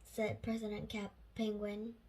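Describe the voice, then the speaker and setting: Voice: medium pitch, completely flat delivery, unhurried, a little mellow. A young child reading a children's story aloud carefully, sometimes stumbling over or repeating words.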